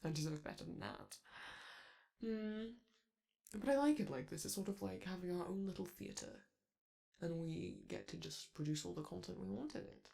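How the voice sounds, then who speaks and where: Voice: mid-range voice, very animated, moderate pace, creaky, dark tone. A performer voicing a flamboyant male robot TV star, vain and charming, who talks theatrically and flirts with the listener in a romantic audio roleplay. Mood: fond, theatrical.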